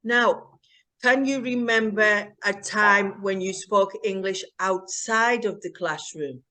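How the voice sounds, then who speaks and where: Bright-voiced woman host, slow and clear. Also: mid-range voice. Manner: slow, clear, teacherly questions. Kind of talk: English-learning interview chat.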